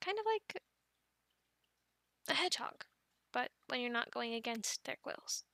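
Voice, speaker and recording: A woman's voice: on the high side, very animated, measured pace, vocal fry, sharp tone, no echo, heavily acted. A woman playing a friendly, encouraging dragon keeper in an ASMR roleplay, chatting playfully with the listener and cooing to animals like pets. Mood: gentle, instructing.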